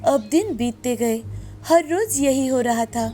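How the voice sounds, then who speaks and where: A woman's voice: high voice, lively intonation, slow pace, mellow. A woman storyteller reading a scripted moral tale as narrator. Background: background music.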